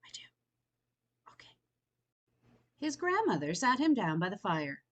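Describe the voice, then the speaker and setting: A woman reads a picture book to young children at a leisurely pace. Her pitch moves around a lot as she tells the story. She speaks invitingly and wonders aloud along with her listeners. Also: very animated, unhurried, dull audio.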